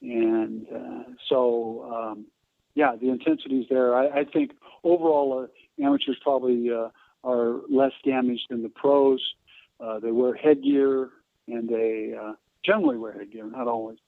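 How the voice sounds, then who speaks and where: An American man of around seventy telling stories in an interview, halting and digressive, often pausing mid-sentence. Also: medium pitch, steady tone, vocal fry, dry recording. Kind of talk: conversational interview storytelling. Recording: narrow phone-like line.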